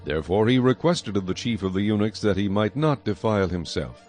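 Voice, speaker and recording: Low-voiced solemn man narrating scripture. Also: animated, brisk, a little mellow. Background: faint music.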